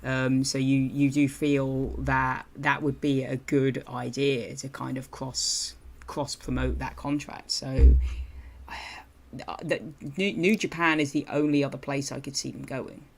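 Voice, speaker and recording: British male co-host, monotone, steady mid pitch, conversational. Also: slow, gravelly. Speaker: podcast co-host. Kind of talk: casual wrestling podcast chat.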